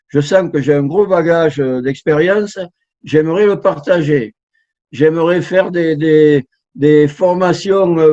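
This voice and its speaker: A man's voice: sits high, darkish tone, dull audio. A man in his sixties, a translator giving an online talk, hesitant with many fillers and restarts. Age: 60s. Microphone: online talk, video call.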